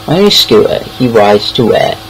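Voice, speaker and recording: A young man with a British accent tells personal stories in a casual, rambling way. His voice sits at a middling pitch, and he often stalls and repeats words. Noticeable background noise runs through the homemade-sounding recording. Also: sing-song, moderate pace, low-quality recording. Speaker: online storyteller. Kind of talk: casual anecdotal storytelling.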